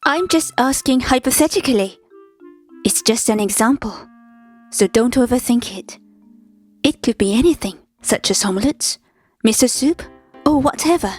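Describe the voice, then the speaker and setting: A woman's voice: a little high, animated, normal speed, pauses often, breathy edge, soft background music. A woman playing a polite, persistent young office worker with a crush in a scripted manga dub. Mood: hopeful, probing.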